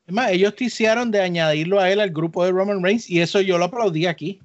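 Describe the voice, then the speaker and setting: Casual podcast co-host, man, slightly high voice, dull low-fidelity recording. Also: quick pace, no echo. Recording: dull lo-fi audio.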